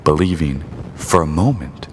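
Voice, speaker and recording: Man as a very deep, ominous radio storyteller. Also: animated, normal speed, slight background noise. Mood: grave, suspenseful. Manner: florid, portentous. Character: ominous, theatrical male storyteller of a noir radio serial. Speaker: host and narrator. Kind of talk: dramatic old-time radio narration. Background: loud music.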